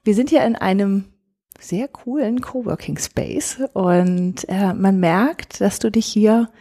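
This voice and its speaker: A woman's voice: mid-range voice, slow pace, warm tone, husky, no echo, clean studio sound. A woman hosting a podcast interview, talking in a hesitant way with many fillers, recorded in a coworking space. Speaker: podcast host. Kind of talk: conversational interview.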